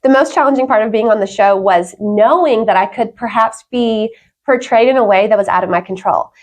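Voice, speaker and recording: A woman's voice: a little high, a little fast. A woman guest on a talk show, telling her own story in an earnest, emphatic, conversational way. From Wales.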